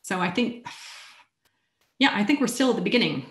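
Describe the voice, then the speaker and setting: A woman's voice: medium pitch, brisk. Wry woman on a roomy video call. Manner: animated, wry, laughs lightly between points. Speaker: keynote speaker. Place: home room.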